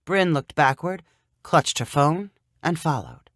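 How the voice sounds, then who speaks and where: A woman narrating a scripted short story in an expressive, clearly paced storyteller's manner. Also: deep voice, slow pace, dry recording, studio quality.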